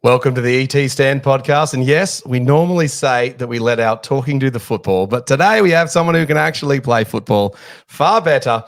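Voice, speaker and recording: A man's voice: mid-range voice, brisk, gravelly, dry recording, clean studio sound. A man hosting a casual sports podcast, chatty and joking at his own expense. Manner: chatty, jokey, self-deprecating. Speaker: podcast host.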